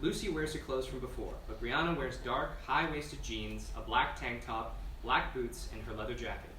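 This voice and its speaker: A man's voice: medium pitch, fairly even intonation, normal speed, bright, forceful tone. A man reading scene directions as scripted narration at a screenplay table read. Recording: echoey room, distant mic, noisy.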